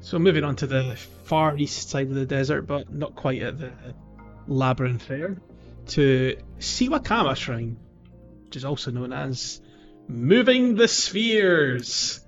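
A man's voice: medium pitch, expressive, measured pace, highs cut off, soft background music. A man co-hosting a casual video game podcast, leading the discussion over an internet voice call.

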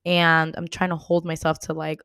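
A woman's voice: sits low, completely flat delivery, moderate pace, a bit bright, clean tone, no room sound, clean studio sound. A woman hosting a casual interview, asking reflective questions in an earnest way with many fillers. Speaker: host.

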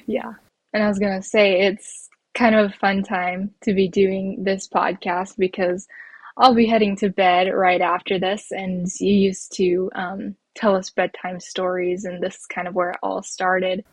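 Husky young American woman interviewing, dry sound. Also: mid-range voice, flat delivery, a little slow, no room sound. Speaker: podcast host and interviewer. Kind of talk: casual conversational interviewing.

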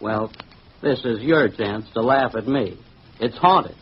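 An actor playing a suave, dramatic gentleman in an emphatic, theatrical 1940s radio drama style. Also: medium pitch, takes it slowly, husky. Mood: earnest warning. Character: suave, dramatic gentleman host and art owner. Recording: old muffled radio recording, faint hiss.

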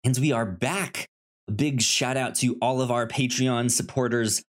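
A man's voice: medium pitch, fairly even intonation, measured pace. A man hosting a podcast in a casual, chatty style, with a tendency to stammer and repeat syllables. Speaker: podcast host. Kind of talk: casual podcast chat about movies and show news.

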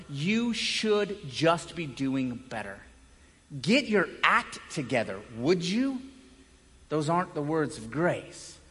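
High-voiced man preaching in a reverberant hall. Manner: emphatic, with dramatic pauses and swings from loud to hushed. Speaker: pastor preaching. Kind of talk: sermon. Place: large indoor room, preaching to a congregation. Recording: echoey hall with long reverb.

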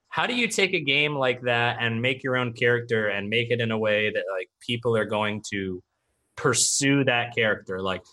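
A young man co-hosting a podcast, talking loudly and animatedly in casual chat. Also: medium pitch. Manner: loud, animated, talkative. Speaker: podcast co-host.

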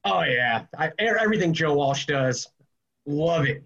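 A man's voice: a little high, animated, a little slow, creaky, a bit bright, dull audio. A man chatting casually as an interview guest, explaining things in an informal way. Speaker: interview guest from a music store.

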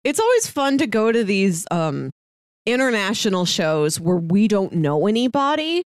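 A woman's voice: medium pitch, sing-song, normal speed, clear, resonant tone, no echo, studio quality. A woman co-hosting a podcast, chatting expressively and casually about a TV show. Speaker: podcast co-host.

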